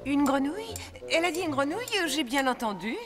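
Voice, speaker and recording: A woman's voice: sits high, sing-song, a little fast, big-room sound. A woman voicing a princess's indignant, scolding mother in a children's fairy-tale cartoon. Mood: scandalized. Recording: loud music behind.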